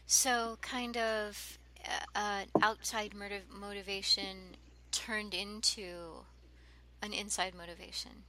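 A woman hosting a podcast, asking a guest clear, simple questions slowly. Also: mid-range voice, little pitch movement, noisy background. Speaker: podcast host. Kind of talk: interviewing a language learner.

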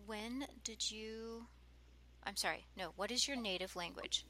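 Slow, bright-voiced woman podcast host. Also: medium pitch, slight background noise. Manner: slow, clear, simple questions. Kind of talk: interviewing a language learner.

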